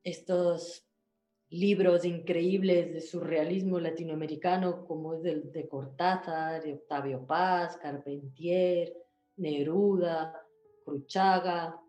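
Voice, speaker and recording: A woman's voice: lowish voice, fairly even intonation, takes it slowly, mellow, echoey room, soft background music. A woman talks casually as an interview guest, in a chatty, rambling way, often checking back with the listener. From Ecuador.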